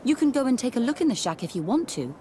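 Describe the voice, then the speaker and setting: A woman playing a busy, formal religious scholar in charge, brisk and composed, in a video game. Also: fairly high pitch, big pitch swings, warm tone, slight background noise. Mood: matter-of-fact. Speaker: supervisor of theologians.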